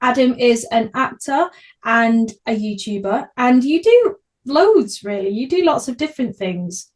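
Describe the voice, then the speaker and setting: A woman's voice: fairly high pitch, lively intonation, a little slow. A young woman hosting a casual podcast interview in a chatty, supportive way. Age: young adult. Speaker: podcast host, theatre creative.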